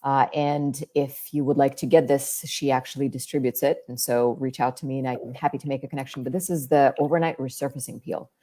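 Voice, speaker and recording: A woman guest with a deep, calm, soothing voice, chatting in an easygoing way about her skincare routine. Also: a little fast, few pauses. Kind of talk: casual chat about skincare.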